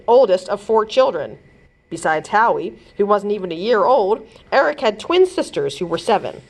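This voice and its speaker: A woman reading a children's chapter book aloud in an expressive storytelling manner, like a librarian at storytime. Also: medium pitch.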